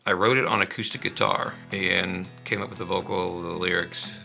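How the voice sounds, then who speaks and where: A man from a band, talking casually and at length about how one of their songs was made, relaxed and quick to laugh. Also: low-pitched, creaky, soft background music. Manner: relaxed, rambling, laughs easily. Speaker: band member discussing a song. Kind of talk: casual conversational chat.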